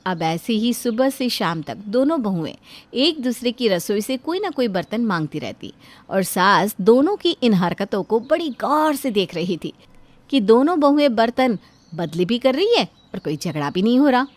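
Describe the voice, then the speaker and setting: A woman narrates a scripted family tale. Her voice is a little high. She delivers it as a storyteller linking the scenes. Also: very animated, moderate pace, no room sound.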